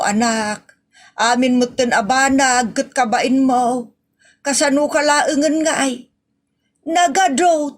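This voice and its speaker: A teasing, nagging mother and wife acted by a woman in a comedy audio drama. Her voice sits fairly high for a woman. She scolds expressively, in the manner of a family farce. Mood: chiding. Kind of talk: Ilocano comedy radio-style drama acting.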